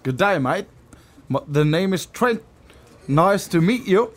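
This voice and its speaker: Radio play brother played by a man: Australian, earnest and odd, high voice, unhurried. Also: lively intonation. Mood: greeting. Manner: slow, halting delivery. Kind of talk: amateur radio play cold read.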